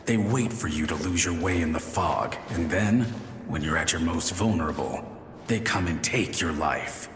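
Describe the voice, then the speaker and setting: An actor playing a teasing, chatty ghost of a lighthouse soldier in a video game, telling tales in a folksy way. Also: male voice, low-pitched, normal speed, natural voice. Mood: eerie storytelling. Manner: taunting, folksy storytelling. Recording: long echoing reverb with music behind.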